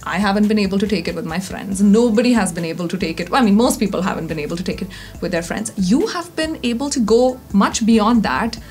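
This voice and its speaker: Female interviewer, thirties, Indian accent, medium pitch, conversational hosting with faint music underneath. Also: fast talker, a little mellow. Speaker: interviewer, content creator. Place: indoor studio-style office set.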